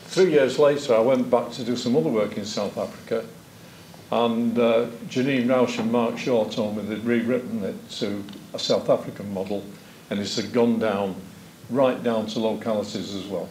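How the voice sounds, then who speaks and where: A man's voice: lowish voice, moderate pace, slight background noise, rough recording. An older man, a former police chief, telling anecdotes as a witness at an evidence session in a lecture theatre. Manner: anecdotal, animated hand gestures. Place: lecture theatre, seated at a desk.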